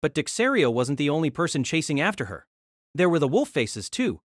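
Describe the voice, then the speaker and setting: A man's voice: a little high, very animated, fairly quick, no room sound, clean studio sound. A man giving a dramatic scripted narration of an action fantasy story.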